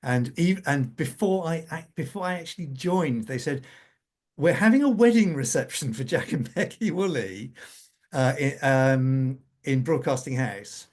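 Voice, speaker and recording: A man's voice: medium pitch, lively intonation, a little slow, darkish tone. A middle-aged or older man, a scriptwriter, telling anecdotes informally on a panel, repeating and restarting his phrases. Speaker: radio drama scriptwriter on a panel. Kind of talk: informal anecdotal conversation at a conference.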